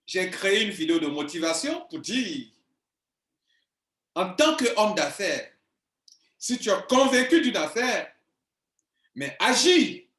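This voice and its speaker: A man's voice: high-pitched, sing-song, slow pace, long pauses, fairly bright tone. A man, an online business coach talking straight to his viewers in an insistent, repetitive way. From Ivory Coast. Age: 40s. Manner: insistent, emphatic, repeats himself. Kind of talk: video monologue to viewers. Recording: echoey room.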